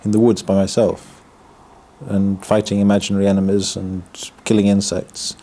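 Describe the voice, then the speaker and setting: A man from the north of England, a Buddhist monk, speaking in a documentary interview in a reflective, halting way, often restarting his words and adding wry humor. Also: fairly low pitch, monotone, mellow. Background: background music.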